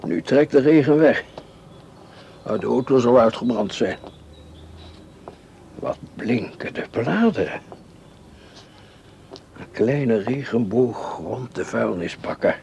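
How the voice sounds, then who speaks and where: A man's voice: medium pitch, animated, slow pace, vocal fry, long pauses, warm tone, muffled recording, a little hiss or hum. A man acting in a radio play as a scheming, cynical educated doctor who muses darkly to himself. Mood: brooding, poetic.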